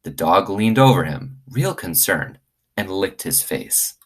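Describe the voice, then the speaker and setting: A man reading aloud to children in an expressive storytelling style. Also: low voice, unhurried. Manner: expressive, acts out dialogue. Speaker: teacher reading aloud to students.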